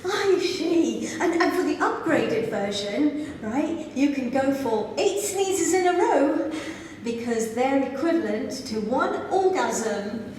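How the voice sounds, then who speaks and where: A woman's voice: high-pitched, expressive, slow pace, darkish tone, slight background noise. A woman from Zambia doing a stand-up comedy set in a club, playful and giggling at her own jokes. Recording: echoey club sound from far off.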